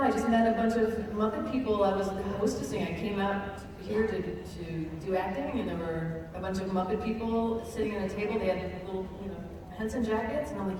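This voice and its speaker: A middle-aged woman, a voice actor and puppeteer, telling a casual anecdote on a panel. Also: medium pitch. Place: large convention hall with audience. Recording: echoey large hall, distant, noisy audience.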